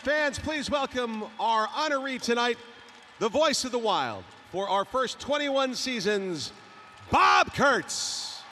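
A man's voice: extremely high pitch, lively intonation, slow pace, bright, forceful tone. A man making a formal, scripted ceremony announcement as an arena announcer, projecting over the public address. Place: large indoor ice hockey arena. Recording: echoing arena PA with clear crowd ambience. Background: arena ambience and noise.

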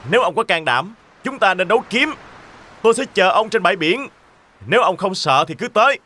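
A man's voice: sits high, expressive, brisk, bright, forceful tone, full character voice. A man voicing a brave, cocky boy adventurer with a bold, direct manner in a children's cartoon storybook. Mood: defiant.

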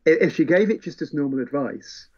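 A man's voice: fairly high pitch, lively intonation, a little fast, warm tone. Dull-sounding man chatting about books. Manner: acts out characters' lines while explaining. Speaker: podcast co-host. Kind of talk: casual book chat, retelling plots.

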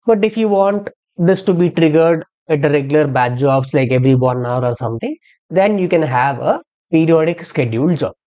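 A software instructor narrating a step-by-step tutorial in an explanatory way, posing questions and then answering them. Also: medium pitch, normal speed, dull audio. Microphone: screen-recording voice-over.

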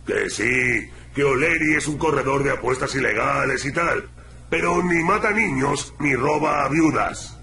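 An actor playing a big, tough, defensive gorilla bodyguard in a video game. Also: male voice, medium pitch, a little slow, creaky, pushed and bright, roomy sound.

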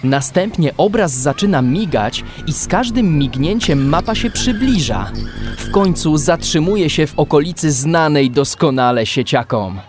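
A man's voice: on the high side, expressive, unhurried, music in the background. A man narrating a scripted radio drama as storyteller.

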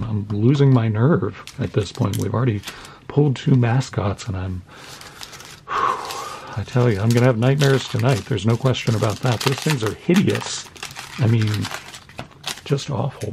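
A man from the United States, slightly hoarse, opening trading cards at his desk at home and narrating each pull with deadpan mock horror. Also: medium pitch, slight background noise. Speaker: card-opening channel host. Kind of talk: mock-scared card-opening commentary. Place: home desk with Halloween decor.

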